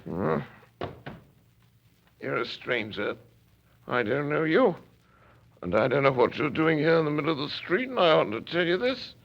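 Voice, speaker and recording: A man's voice: a little high, very animated, moderate pace, vocal fry, pauses often. A man playing a drunken upper-class gent who rambles, croons and gossips in a slurred, chummy way, in an old-time radio drama. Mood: gossipy. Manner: slurred, singsong, chummy "old fellow" talk. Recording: dull lo-fi recording.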